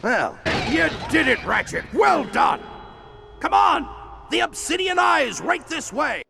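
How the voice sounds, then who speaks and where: Gravelly commanding alien general. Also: male voice, very high voice, sing-song, unhurried, pushed and bright, shouting, exaggerated voice. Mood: exhilarated. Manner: commanding, urgent. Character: brisk, driven male alien general urging a mission. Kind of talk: animated video game cutscene acting. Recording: vehicle noise behind.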